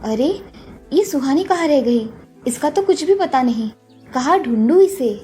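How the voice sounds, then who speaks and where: A woman's voice: high-pitched, lively intonation, a little slow, mellow, faint music behind. A woman voicing a caring mother and her college-age daughter in a dramatised cartoon story. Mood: worried.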